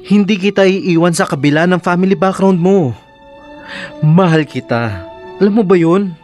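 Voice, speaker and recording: A man's voice: sits high, moderate pace, a little mellow, soft background music, close to an everyday voice. A man acting a devoted, pleading boyfriend in a Filipino radio drama, earnest and emphatic. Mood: pleading, impassioned. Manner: earnest, emphatic, mixes in English.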